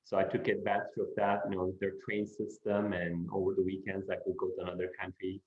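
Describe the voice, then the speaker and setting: A middle-aged man from Turkey, a professor answering interview questions in an unhurried way, with many "you know" fillers. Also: low-pitched, completely flat delivery. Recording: echoey room, dull lo-fi sound.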